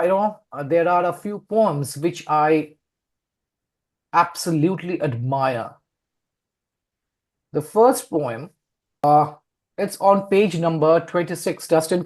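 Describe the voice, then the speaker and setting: Guy hosting a poetry chat, really slow and deliberate, repeats himself. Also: fairly high pitch, lively intonation. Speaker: host, poet and publisher interviewing an author. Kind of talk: literary interview.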